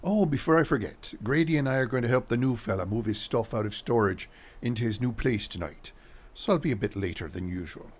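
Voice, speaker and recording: A man's voice: mid-range voice, animated, brisk, a little hiss or hum, close to an everyday voice. A man playing a weary, good-humored dockworker husband in a radio play. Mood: easygoing. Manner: folksy, teasing.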